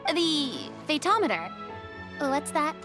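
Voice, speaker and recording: A woman voicing a sweet, modest young woman in a video game, with a gentle, wondering manner. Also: high-pitched, very animated, takes it slowly, music in the background, heavily acted. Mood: curious. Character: sweet, wide-eyed, modest young woman new to tabletop games. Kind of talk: English game voice acting.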